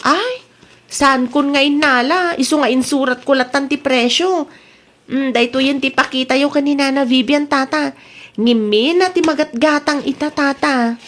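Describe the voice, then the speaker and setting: A woman playing a chatty, evasive village wife in an Ilocano comedy audio drama, exclaiming and quick to retort. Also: high voice, a bit bright. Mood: casual, obliging.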